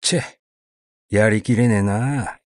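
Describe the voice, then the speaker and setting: A man reading the part of a rough, grumbling male cement laborer who talks in coarse, cursing speech. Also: on the low side, slow pace, no echo, clean studio sound.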